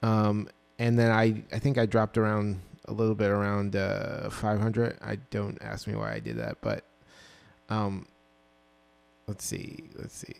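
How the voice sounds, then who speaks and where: A man in his twenties with a low voice, casually walking through his own song mix with plenty of ums and self-corrections, recorded on a dynamic mic in a small home studio. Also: measured pace, vocal fry, smooth. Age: 20s. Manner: relaxed, rambling, full of ums and self-corrections. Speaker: music producer. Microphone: dynamic broadcast mic.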